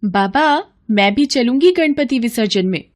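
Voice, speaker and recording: A woman's voice: a little high, expressive, a little slow, voice pushed up. A woman as an earnest, devout young girl. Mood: eager. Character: devout young stepdaughter, innocent, earnest and plaintive. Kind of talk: devotional storybook acting.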